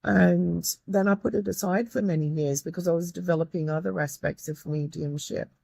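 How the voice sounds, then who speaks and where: Woman, low croaky voice, earnest guest, dry close mic. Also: steady tone, normal speed, a little mellow, dry recording. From Australia. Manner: earnest, grateful, with many restarts. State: croaky, tired voice. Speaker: guest, medium and tutor. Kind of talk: reflective interview talk.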